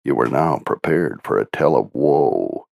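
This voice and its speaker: A man with an extremely low, creaky voice narrates a history book. His reading is solemn and measured, formal in tone. The recording is close and dry. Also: expressive, a little mellow, no room sound. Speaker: audiobook narrator.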